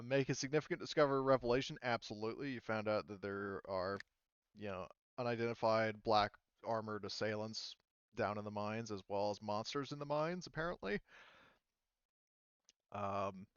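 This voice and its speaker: Guy game mastering, smooth clear voice, middle pitch, dry kinda muffled mic, casual. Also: a bit bright, no room sound. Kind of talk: running a tabletop roleplaying session, casual chat.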